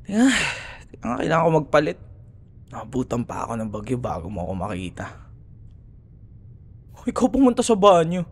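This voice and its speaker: Casting: male actor as cocky young Filipino student rival for ASMR roleplay; mid-pitched, very lively intonation, sarcastic and teasing. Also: fairly quick, gravelly, long pauses. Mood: exasperated. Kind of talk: ASMR boyfriend roleplay, casual dialogue.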